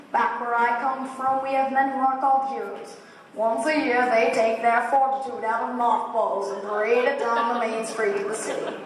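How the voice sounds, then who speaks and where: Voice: mid-range voice, a bit bright, natural voice. A performer playing a kindly, bumbling humbug wizard with mock-grand speechifying in a school stage play. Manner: speechifying, mock-grand, halting when flustered. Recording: distant echoey hall, faint noise.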